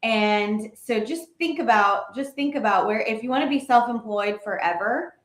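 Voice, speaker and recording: A woman giving money advice in a casual co-host chat, animated and quick to laugh. Her voice sits slightly high. The room is echoey. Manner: animated, laughs often, loses her place.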